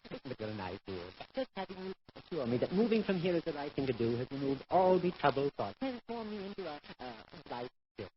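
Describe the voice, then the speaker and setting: An actor playing a fussy, excitable male photographer in 1940s radio style, fluttery, precious and effusive. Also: a little high, a little fast. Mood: fond, fussy. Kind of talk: 1940s radio comedy-drama acting. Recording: dull, noisy vintage radio recording.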